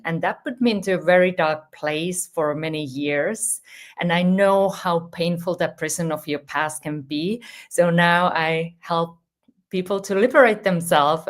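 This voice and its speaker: Finnish-accented woman, earnest and low-pitched. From Finland. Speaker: spiritual counselor. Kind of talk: panel self-introduction.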